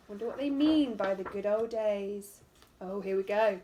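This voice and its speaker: A woman teacher reading a picture book aloud to young children in an animated way, drawing out words and dropping into hushed asides. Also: medium pitch, a little hiss or hum. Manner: animated, drawn-out storytelling with hushed asides and questions to listeners. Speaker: primary school teacher.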